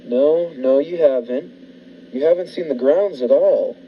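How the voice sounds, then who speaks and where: A man's voice: on the high side, a little slow, lightly acted. A man playing a menacing, deranged murderous husband in a 1940s-style radio thriller, folksy, insistent and coolly sinister. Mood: insistent, coaxing. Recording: dull lo-fi radio-play audio, clear noise.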